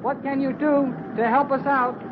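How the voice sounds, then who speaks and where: An actor playing an eager, brisk boy radio operator in an old-time radio adventure, talking in earnest, slangy, urgent lines. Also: very high-pitched, flat delivery. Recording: noisy, muffled old radio recording.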